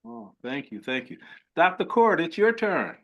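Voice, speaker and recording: A man's voice: a little high, sing-song, a little slow, fairly bright tone, lo-fi sound. Effusive older male webinar host. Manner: effusive, rambling with many restarts. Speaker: host, physician. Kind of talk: webinar hosting.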